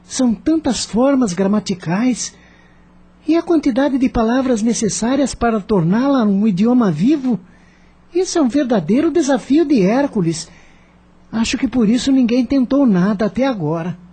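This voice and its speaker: A woman's voice: a little high, expressive, normal speed, mellow, slightly rough. A woman playing an earnest, idealistic teenage schoolboy who thinks aloud and questions things, in a radio drama. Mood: doubtful.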